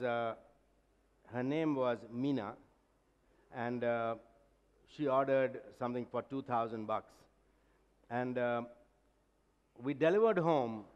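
A man, speaking directly and emphatically as a conference speaker on stage. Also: medium pitch. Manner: direct, emphatic, gesturing while talking. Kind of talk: conference talk and Q&A. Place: large conference hall stage. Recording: long hall reverb.

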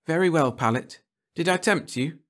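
A mischievous alien shapeshifter, acted by a man in a sci-fi audiobook. It is mocking and teasing, in a raised pitch. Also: normal speed, fairly bright tone, natural voice.

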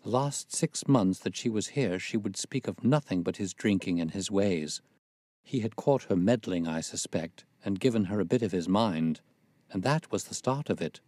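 A man's voice: deep voice, animated, moderate pace, darkish tone, no room sound, clean studio sound. A man voicing a weary, talkative middle-aged spinster in a storybook reading, plaintive and gossipy in old-fashioned phrasing. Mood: aggrieved, gossipy.

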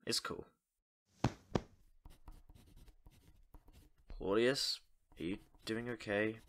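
A man's voice: lowish voice, measured pace, a bit bright. An actor playing an easygoing, loyal young guy in casual, naturalistic audio drama dialogue. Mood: concerned.

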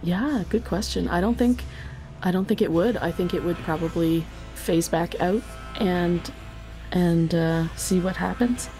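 A woman with a slightly low voice takes part in a tabletop role-playing game. She calls rolls and describes actions in casual speech, over faint music. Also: unhurried, mellow. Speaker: guest player controlling the monster. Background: music under the voice.

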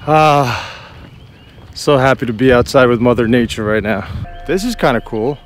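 A man's voice: medium pitch, moderate pace, over music. A man talking to his viewers in a casual vlog, earnest and reflective, partly outdoors on a hike. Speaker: vlogger. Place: mix of indoors and outdoors on a hike.

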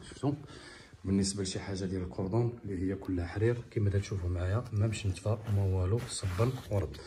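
A man with a Moroccan Arabic accent, a shop owner filming a walkthrough of a sofa set he made, talking quickly like a salesman and often asking viewers if they see. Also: low-pitched, fairly even intonation, warm tone, slight background noise. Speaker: upholstery shop owner showing his work. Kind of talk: walkthrough product showcase. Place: large indoor living room with tiled floor. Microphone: phone camera held while walking.

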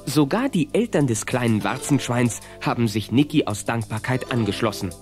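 A man's voice: mid-range voice, expressive, normal speed, darkish tone, soft background music, natural voice. A man narrating a German children's adventure radio play as the storyteller. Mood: storytelling.

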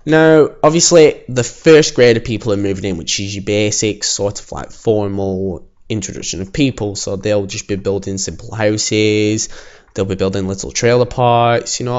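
A man with a croaky voice, casually commenting as he builds a city in a game, alone at home. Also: fairly low pitch, moderate pace, darkish tone, lo-fi sound. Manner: chatty, self-assured, talks while building. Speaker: gaming channel host. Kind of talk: casual let's-play commentary.

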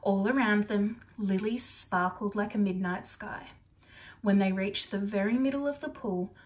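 A young adult woman reading a picture book aloud to children in an expressive storytelling style, recorded indoors on a device about arm's length away. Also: medium pitch. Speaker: storybook reader for primary children. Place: small furnished room indoors.

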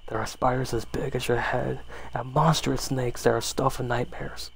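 Guy with a creaky voice reading asmr scary story, medium pitch, trips on words a bit. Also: flat delivery, normal speed. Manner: stumbles and rereads phrases.

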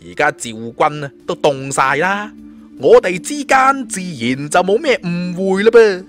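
Cocky young Cantonese martial-arts hero read by a male narrator, glib, taunting, lively pitch, music underneath. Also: a little slow, heavily acted. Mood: glib, persuasive.

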